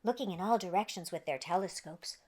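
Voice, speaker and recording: A woman's voice: on the low side, a little fast, a little mellow. A woman hosting a children's read-aloud, narrating a picture book in a playful, exaggerated way aimed at young listeners. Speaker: children's storyteller and host.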